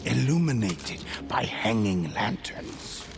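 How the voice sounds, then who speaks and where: A man narrating a game story in a measured, solemn way. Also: on the low side, very animated, creaky, background noise.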